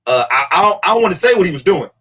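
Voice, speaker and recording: Animated man telling a story. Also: high-pitched, very fast, husky. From Los Angeles. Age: 40s. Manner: animated, laughing, swearing. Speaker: podcast host. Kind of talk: casual chat, storytelling.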